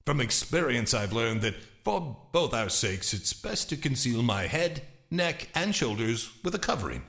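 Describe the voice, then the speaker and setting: A man's voice: medium pitch, creaky, heavily acted. Guy acting a theatrical magician in an audiobook, formal showman, mid voice that swings up and down a lot. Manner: formal, showmanlike, calmly assured. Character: poised, theatrical male stage illusionist addressing his audience. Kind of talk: acted audiobook dialogue.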